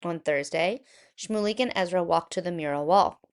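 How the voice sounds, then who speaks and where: A woman narrating a children's picture book aloud in an expressive, animated storytelling manner. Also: fairly low pitch, moderate pace.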